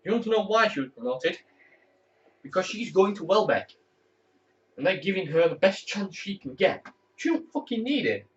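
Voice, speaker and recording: A teenage cadet corporal chatting candidly to camera at home, rambling and swearing now and then. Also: male voice, sits high, very animated, normal speed, long pauses. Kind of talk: casual talk to camera. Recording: distant, muffled mic.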